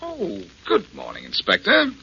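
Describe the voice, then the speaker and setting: A man's voice: medium pitch, huge pitch swings, measured pace, vocal fry, heavily acted. A man playing a self-assured, sardonic amateur detective in 1940s radio drama style. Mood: wry, needling. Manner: glib, sardonic, needling. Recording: muffled, hissy 1940s radio broadcast.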